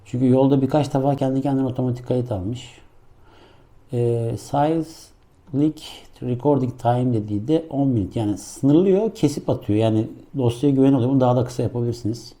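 A man's voice: medium pitch, fairly quick, dark tone. A man doing a casual hands-on product review, explaining things step by step in an informal, improvised way. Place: indoor room.

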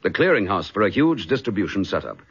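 An actor playing a brisk, courteous British chief inspector in a radio drama, with a husky voice. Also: male voice, lowish voice, sing-song, a bit bright, full character voice. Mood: keen, methodical. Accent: British English. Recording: dull lo-fi radio drama recording.

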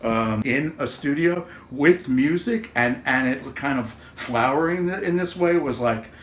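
A man who collaborates on the album, reflecting in an interview in a halting, thoughtful way as he searches for words. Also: medium pitch. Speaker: musical collaborator. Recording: roomy echo, faint background noise.

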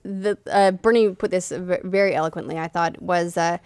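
A woman's voice: on the low side, steady tone, moderate pace, darkish tone, clear, resonant tone, dry recording. A woman in her thirties chatting casually about games as a podcast co-host, close on a studio microphone. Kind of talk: casual gaming chat. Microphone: desk studio microphone, close.

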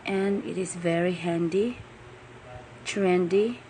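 A woman's voice: on the low side, slow pace, warm tone, a little hiss or hum, low-quality recording. A woman teacher giving a casual spoken review of a product she bought.

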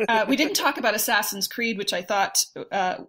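A woman's voice: mid-range voice, moderate pace, sharp tone. Woman chatting thoughtfully on a panel. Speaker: podcast guest. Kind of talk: casual panel discussion about films.

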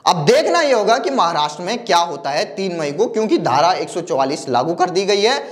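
High-voiced young man presenting news. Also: very animated, fairly quick. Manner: talks directly to the viewer, gesturing with a phone in hand; reels off long lists of figures. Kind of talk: news commentary to camera. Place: dark studio backdrop, indoors. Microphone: lapel mic.

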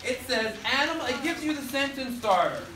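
A man in his thirties teaching third graders with call-and-response, animated and projecting to the class. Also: extremely high pitch, a little fast. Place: elementary school classroom, indoors. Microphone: far camera at the back of the room. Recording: roomy, noisy classroom, distant camera mic.

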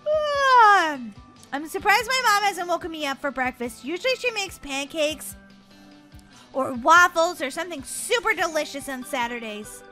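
A very high, bright, melodramatic schoolgirl played by a woman in a game roleplay. She is childish and whiny, swooping up and down in pitch over light music. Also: animated, moderate pace, exaggerated voice. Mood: relieved, musing. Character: dramatic, whiny young schoolgirl in a family roleplay.

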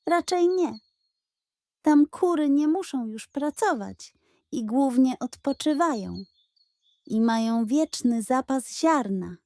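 A woman's voice: high voice, expressive, takes it slowly, a little mellow, no room sound, pitched above the performer's own voice, heavily acted. Woman voicing a sly, pompous greedy male pug. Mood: lofty. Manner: grandiose, mock-solemn, scheming. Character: sly greedy male pug posing as a solemn chicken ghost. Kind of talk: children's audio fairy tale.

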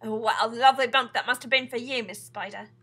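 Storybook centipede pest, played by a woman: brash, gleeful male bug, loud theatrical delivery, high forceful tone. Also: steady tone, a little slow, husky. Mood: excited, gloating. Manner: exuberant, shouting, theatrical. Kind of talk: children's storybook character reading.

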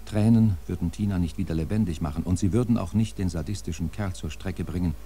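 An actor narrating a horror audio drama as a grave storyteller. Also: male voice, low-pitched, fast.

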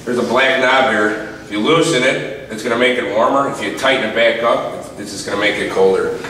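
Plain-spoken plumber, distant noisy camera mic. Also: male voice, medium pitch, steady tone, a little fast, pushed and bright. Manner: casual, plain-spoken, explaining step by step. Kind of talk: walkthrough training demo. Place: utility rooms of a commercial building, indoors. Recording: distant camera mic with air conditioning noise.

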